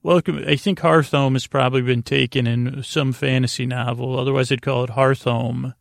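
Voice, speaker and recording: A man hosting a bedtime sleep podcast, with a creaky voice and a gentle, silly, meandering manner full of hesitations, tangents and pauses. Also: mid-range voice, flat delivery, no echo, clean studio sound. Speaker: podcast host.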